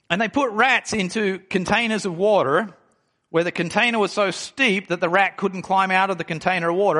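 A man in his forties preaching a sermon, reading scripture aloud and explaining it, slowing down to stress key phrases. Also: high-pitched, bright, forceful tone. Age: 40s. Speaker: pastor.

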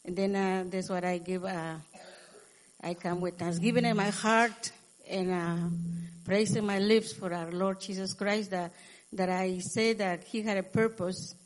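A woman speaking haltingly with many fillers as she gives a testimony at a church service. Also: mid-range voice, steady tone, poor audio. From USA. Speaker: church visitor giving testimony.

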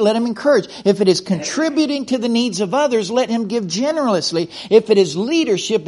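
A man's voice: sits high, darkish tone, husky. A middle-aged man from the United States preaching a sermon in a folksy way, often repeating words and restarting sentences.

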